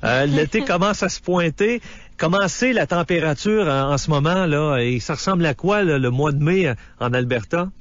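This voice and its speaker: Quebec male radio host, slightly high voice, dry dull broadcast sound, chatty and curious. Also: lively intonation, normal speed, few pauses, no echo. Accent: Quebec French. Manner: casual, curious, many fillers. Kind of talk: radio phone interview.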